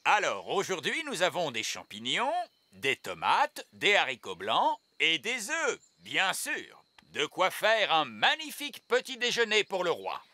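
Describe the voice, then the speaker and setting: A man's voice: sits high, huge pitch swings, measured pace, bright, forceful tone, no echo, heavily acted. An actor playing a cheerful, easygoing elf delivery man in a children's cartoon, with obliging salesman patter. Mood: businesslike, obliging.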